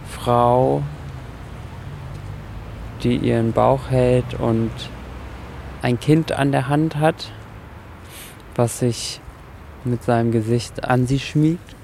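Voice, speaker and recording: A man talking unscripted and reflectively, in halting, broken phrases. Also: mid-range voice, creaky, dark tone, slight background noise.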